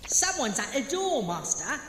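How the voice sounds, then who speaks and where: A man's voice: very high-pitched, sing-song, a little slow, full character voice. A man voicing a clumsy, cheeky little imp who works as a lab assistant in a comedic horror audio drama. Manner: impish, eager, cheeky. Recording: very echoey, loud noise, distant.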